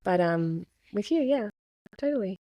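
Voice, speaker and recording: A middle-aged woman, talking casually and reflectively as a podcast guest, pausing often. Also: mid-range voice, lively intonation, no echo, studio quality. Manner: soft-spoken, reflective, with many pauses and fillers. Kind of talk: casual chat.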